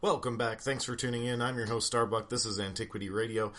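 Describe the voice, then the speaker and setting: A man's voice: medium pitch, steady tone, fast, over music. A man hosting a radio show in a casual, laid-back, slightly hesitant way.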